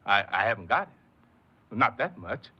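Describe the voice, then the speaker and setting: Desperate ex-convict son, American, 1950s radio crime drama: hesitant, pleading, lowish but piercing voice, dull hissy recording. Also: male voice, big pitch swings, unhurried, bright, forceful tone, husky, heavily acted. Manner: pleading, hesitant, repeats himself.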